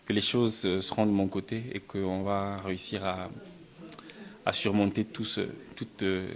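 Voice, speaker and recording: Guy from belgium chatting in an interview, lowish voice, trips over his words a lot. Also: flat delivery, measured pace. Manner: hesitant, many repeated words and restarts, soft-spoken. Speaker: musician and film writer, interview guest. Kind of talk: casual interview answers.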